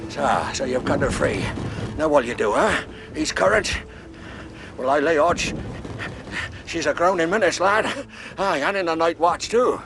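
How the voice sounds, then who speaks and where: Sly menacing hurt pirate, creaky growly voice, old sailor talk, really up and down, radio play with music behind. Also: male voice, a little high, lively intonation, unhurried, fairly bright tone, slight background noise. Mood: alarmed, scolding. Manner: rough old-fashioned sailor talk, taunting. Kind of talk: radio drama acting.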